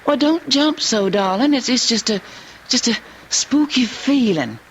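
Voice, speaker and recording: A woman's voice: fairly high pitch, lively intonation, unhurried. A coquettish young Southern wife in a radio play. Her voice swoops widely, sliding between honeyed coaxing and imperious demands. The sound is a dull, noisy old radio recording. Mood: lightly soothing. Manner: honeyed and cajoling, turning imperious. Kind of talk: old-time radio drama acting.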